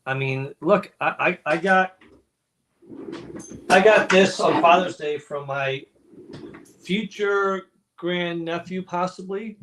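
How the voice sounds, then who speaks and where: A man's voice: high-pitched, slow pace, long pauses, a bit bright, slight background noise, low-quality recording. A man hosting an online networking livestream in casual, unscripted chat, joking and rambling loosely as he goes. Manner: jokey, chatty, loosely rambling. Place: indoor room on a video livestream.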